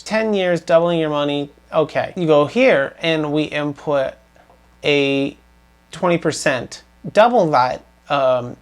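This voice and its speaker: Scratchy-voiced young man vlogging. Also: a little high. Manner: rambling, filler-heavy, earnest. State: slightly scratchy voice. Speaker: finance vlogger. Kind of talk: casual portfolio update to camera.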